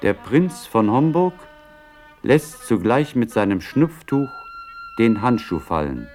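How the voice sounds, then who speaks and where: An actor as the narrator of a radio drama, describing the action in deliberate, pointed scripted phrases. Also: male voice, medium pitch, sing-song, a little mellow, faint music behind. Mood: observing, deliberate. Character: observant narrator reading stage action aloud.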